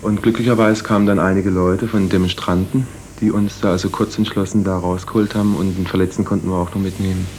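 Low-voiced man recounting events haltingly. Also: little pitch movement, darkish tone, faint music behind. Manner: halting, with fillers and restarts. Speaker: interviewee, medic. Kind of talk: personal eyewitness account.

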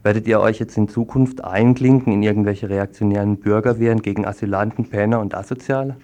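A man's voice: on the low side, steady tone, brisk, mellow. A man working as a radio reporter, reading a report and interviewing in a formal, wordy way. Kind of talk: scripted news report and studio interview.

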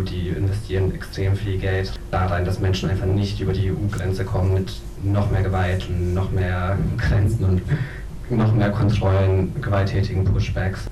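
Low-voiced man in a noisy, echoey room. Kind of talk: informal spoken commentary at a film talk. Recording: echoey, distant mic, clear background noise.

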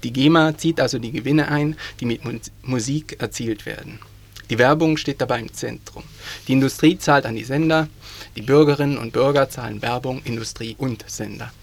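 A man's voice: medium pitch, moderate pace, creaky. A man presenting a scripted informational radio segment with dry, critical commentary, on a 1980s community radio broadcast.

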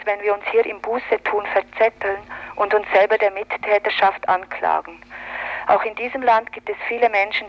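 Female radio commentator, medium pitch, reading prepared political copy; muffled 1980s broadcast sound. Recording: dull lo-fi 1980s radio recording.

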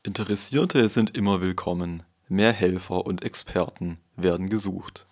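A man narrating a short report as a scripted voice-over in a calm, measured way. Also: low voice, slight background noise.

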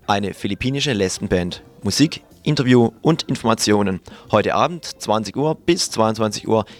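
Male radio host for programme previews, fairly low register, music bed underneath. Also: animated, normal speed. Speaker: radio presenter. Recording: music behind the voice.

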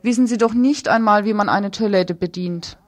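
A woman's voice: medium pitch, moderate pace. A woman presenting radio news, reading a scripted report with commentary.

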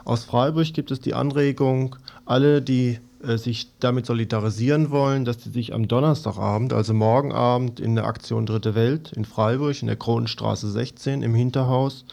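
A man's voice: medium pitch, fairly even intonation, normal speed, gravelly, hardly any pauses, darkish tone. A man hosting a radio programme, interviewing and reading out announcements. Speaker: radio host.

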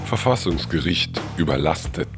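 Man, very deep voice, creaky, headline announcer, loud guitar music behind. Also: expressive, moderate pace. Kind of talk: short scripted headline announcements.

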